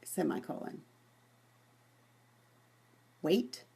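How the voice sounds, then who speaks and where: A woman with a husky voice presenting an informal software demonstration. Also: very slow. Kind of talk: dictating voice commands to software.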